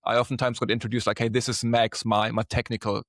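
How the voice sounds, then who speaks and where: A man's voice: mid-range voice, little pitch movement, fast talker, no room sound, clean studio sound. A man in his thirties with a German accent, speaking frankly as a guest in a casual podcast interview and repeating words as he restarts. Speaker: guest, solution engineer.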